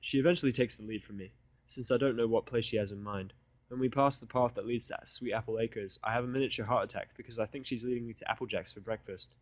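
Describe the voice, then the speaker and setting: Man, mid-pitched voice, dry close recording, story narrator, sardonic, irritable. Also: steady tone, quick pace, no room sound. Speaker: audiobook narrator. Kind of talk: first-person fan fiction narration.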